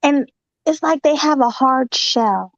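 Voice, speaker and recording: A woman's voice: high-pitched, slow pace, no room sound. A woman hosting a casual chat, talking conversationally and laughing between her points. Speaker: host. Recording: dull, lo-fi audio.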